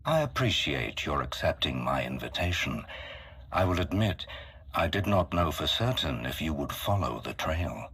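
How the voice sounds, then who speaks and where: A man voicing a solemn, calculating mastermind in a video game, speaking formally and deliberately with a husky voice. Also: extremely low pitch, sing-song, a bit bright, exaggerated voice. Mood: solemn, controlled. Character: solemn, calculating male mastermind with grand galactic ambitions. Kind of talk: dramatic video game acting.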